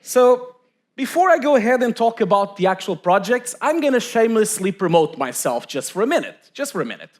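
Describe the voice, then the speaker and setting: A man's voice: sits high, very animated, fairly quick, faint music behind. A middle-aged man from Portugal giving a joking, chatty conference presentation to a live audience. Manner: self-mocking, chatty, many fillers.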